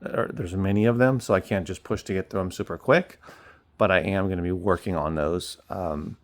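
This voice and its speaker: A man's voice: low-pitched, normal speed. A man talking casually and off the cuff as a reseller, rambling along with trailing asides. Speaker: online reseller and bookstore owner. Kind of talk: casual chat.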